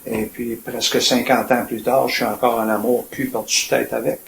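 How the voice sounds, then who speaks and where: An older man in his seventies from Quebec, with a Quebec French accent, telling his life story in a reflective, colloquial, measured way as a retired police officer. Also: medium pitch, flat delivery, creaky. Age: 70s. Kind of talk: personal storytelling in an interview. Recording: echoey room with faint noise.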